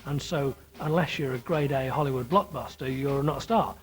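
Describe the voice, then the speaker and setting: A man's voice: medium pitch, a little fast. A man speaking in an interview as a pantomime producer. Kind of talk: interview talk with fillers and restarts.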